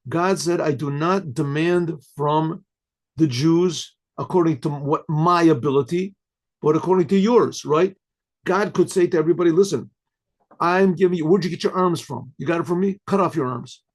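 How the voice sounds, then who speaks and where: An older man, a rabbi, giving a questioning sermon-style lecture, with restarts, rhetorical asides and frequent throat clearing. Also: a little high, normal speed. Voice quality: husky, clears throat often. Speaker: rabbi teaching.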